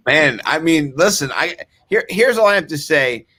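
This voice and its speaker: Man, fairly high voice, lively swings in pitch, joking sports banter, stammers. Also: moderate pace. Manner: jokey, stammering restarts. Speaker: podcast co-host. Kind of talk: casual sports chat.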